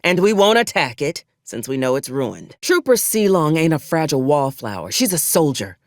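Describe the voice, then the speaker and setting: A stern military acquisitions officer from a video game, pragmatic and coolly menacing. The delivery is clipped and formal with a sardonic frontier turn, moving widely in pitch. The sound is dry, with no room around it. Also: big pitch swings, normal speed, no echo. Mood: matter-of-fact, businesslike. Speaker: video game character actor. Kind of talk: scripted video game dialogue.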